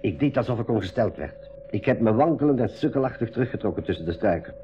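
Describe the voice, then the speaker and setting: Shrewd adventurer's companion voiced by a man, mid-pitched and very lively, explanatory school radio drama delivery, slightly dull recording. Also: fast talker, soft background music, close to an everyday voice. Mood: confiding. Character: Coralis, shrewd observant companion recounting his escape.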